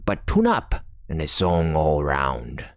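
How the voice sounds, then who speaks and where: A man voicing a rough, hearty sailor from a storybook, speechifying in salty talk with dropped g's. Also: a bass voice, wildly swooping pitch, takes it slowly, dry recording, studio quality, full character voice. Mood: salty, grumbling. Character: rough, hearty old-fashioned sailor, bossy and chummy.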